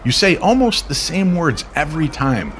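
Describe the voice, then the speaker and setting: A man playing a calm, enigmatic stranger in a mystery audio drama, speaking in a measured, cryptic way. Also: a little high, a little hiss or hum, voice pushed up. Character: calm, enigmatic stranger who knows everyone. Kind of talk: old-time radio style drama.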